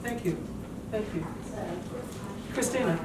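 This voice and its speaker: Woman, average pitch, casual short replies, distant microphone, loud group noise. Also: lively intonation, a little slow. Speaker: host taking feedback. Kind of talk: casual brief interjections. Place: indoor gathering in a home. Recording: echoey, distant mic, loud crowd.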